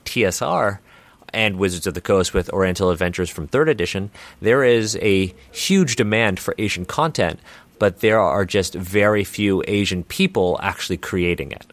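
Guy with a lowish voice, earnest and chatty, keeps restarting his sentences as he explains. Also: normal speed, no room sound. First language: Chinese not first language. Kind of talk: conversational interview answers.

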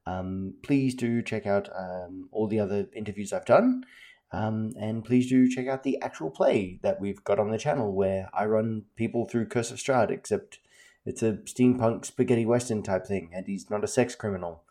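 Mellow-voiced man hosting an interview. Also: lowish voice, moderate pace. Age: 40s. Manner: enthusiastic, stumbling over repeats. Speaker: interview host. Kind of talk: casual interview chat.

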